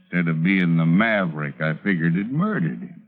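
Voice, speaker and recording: A man's voice: low voice, lively intonation, normal speed. An actor playing a folksy cowboy gunman with an American Western accent in a 1940s radio drama. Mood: sheepish. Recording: tinny, phone-like old radio recording.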